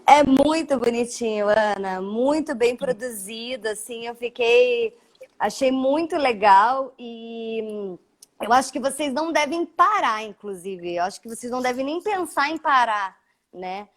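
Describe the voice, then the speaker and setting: High-voiced Brazilian woman interviewing casually. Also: animated, a little slow. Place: video call.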